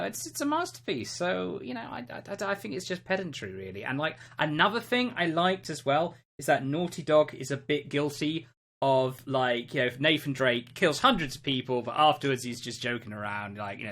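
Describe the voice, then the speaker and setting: Podcast co-host, medium pitch, emphatic and opinionated, chatting casually about games. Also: lively intonation, brisk, a bit bright. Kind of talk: casual video game discussion.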